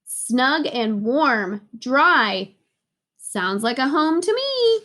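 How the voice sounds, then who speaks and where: A woman with a high-pitched voice leads a read-aloud lesson for young children. She takes her time, explaining in simple words, repeating key words for emphasis in a playful teacher's manner. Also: big pitch swings, drawn-out words, a bit bright, a little hiss or hum.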